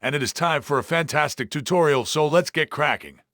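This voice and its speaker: A man's voice: a little high, animated, brisk, a bit bright, no room sound, clean studio sound. A man's computer-generated voice delivering a scripted tutorial greeting.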